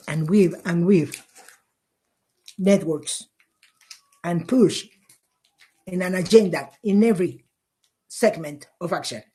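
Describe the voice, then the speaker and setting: A middle-aged woman from Mexico, a conservation leader speaking English, passionate and halting with fillers and repeats. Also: fairly low pitch, warm tone, breathy edge. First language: Spanish. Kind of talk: interview answers.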